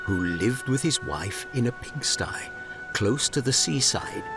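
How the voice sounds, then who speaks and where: A man narrating a fairy tale audio drama in a measured, dramatic storybook style. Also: medium pitch, lively intonation, gravelly, loud music behind the voice.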